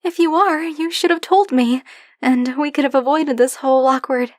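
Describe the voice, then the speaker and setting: A woman's voice: sits high, normal speed, no room sound, clean studio sound, lightly acted. A woman playing a flirty, possessive dragon-blooded librarian in an ASMR roleplay, speaking to the listener in a teasing, coy, insistent manner. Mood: coy, hopeful. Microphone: close, dry microphone.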